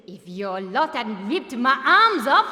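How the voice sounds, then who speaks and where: A woman's voice: a little high, very animated, slow pace, sharp tone, echoey room, big-room sound, a little hiss or hum, heavily acted. An actress playing a bitter, combative commoner woman in a TV drama, sardonic and threatening. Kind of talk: TV drama acting.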